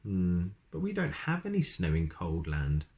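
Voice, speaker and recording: A man's voice: low voice, wildly swooping pitch, a little slow, darkish tone, slight background noise, full character voice. A man voicing a small, innocent, puzzled creature in a children's storybook, with a naive and plaintive manner. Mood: meek, plaintive.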